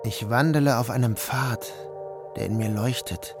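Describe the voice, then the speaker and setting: A man narrating a radio play in the first person, drawn inward and dreamy. He speaks at mid pitch while music plays clearly underneath. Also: moderate pace. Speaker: narrator and lead actor.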